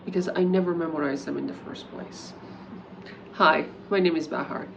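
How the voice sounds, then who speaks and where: A woman's voice: deep voice, fairly even intonation, moderate pace, creaky, highs cut off, a little hiss or hum. A young woman whose first language is Persian gives an earnest, encouraging English lesson to camera, with casual fillers. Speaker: English teacher. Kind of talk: talking-head English lesson.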